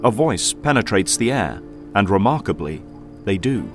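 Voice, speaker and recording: A man's voice: on the low side, sing-song, normal speed, music in the background. A man giving dramatic scripted narration as the narrator of a story.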